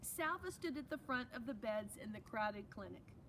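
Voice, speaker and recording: A woman's voice: on the high side, lively intonation, normal speed, pushed and bright, a little hiss or hum. A woman teacher reading a children's novel aloud to her students, expressively, with chatty asides.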